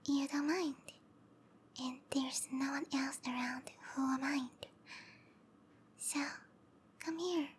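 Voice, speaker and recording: A woman voicing a sweet, obsessive snake-girl lover in a breathy, cooing whisper for an intimate ASMR roleplay. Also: high voice, steady tone, slow pace, pauses often, slight background noise. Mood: tender, possessive. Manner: cooing, tender, possessive.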